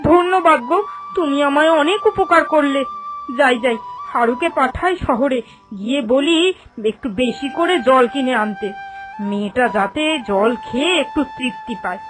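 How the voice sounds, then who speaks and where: A woman voicing an anxious, devoted middle-aged village mother in a cartoon, expressive and emotive. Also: high voice. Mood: worried. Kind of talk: animated cartoon dialogue.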